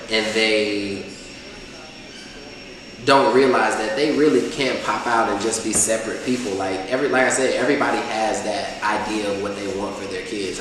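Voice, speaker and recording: Casting: young rapper guest, rambling and laid-back, far from the mic in a roomy, reverberant space with background noise. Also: male voice, fairly low pitch. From Atlanta, USA. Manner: loose, rambling, heavy use of filler phrases. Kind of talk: casual interview chat. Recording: echoey room, far mic, noisy.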